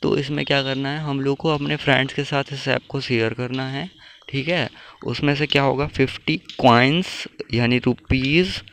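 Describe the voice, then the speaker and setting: A young man talks viewers through an app in an informal, buddy-like way. His voice is mid-pitched with little melody and a noticeable fry. The recording has clear background noise. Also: fairly even intonation, moderate pace, fairly bright tone. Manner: informal, addresses viewers as bro. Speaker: tech tutorial YouTuber. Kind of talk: casual phone app tutorial.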